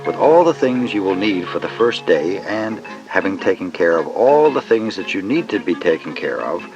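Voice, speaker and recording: A man's voice: deep voice, sing-song, normal speed. A man delivering educational narration as the narrator of a children's cassette. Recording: dull lo-fi cassette sound. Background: clear music.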